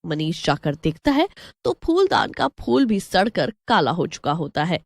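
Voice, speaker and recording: Animated woman storyteller. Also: fairly low pitch, unhurried, gravelly, no echo. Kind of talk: scripted horror story narration.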